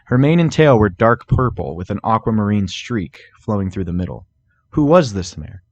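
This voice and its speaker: A man's voice: on the low side, very animated, normal speed, a little mellow, lo-fi sound. A man narrating scripted fan fiction as a dramatic storybook reading.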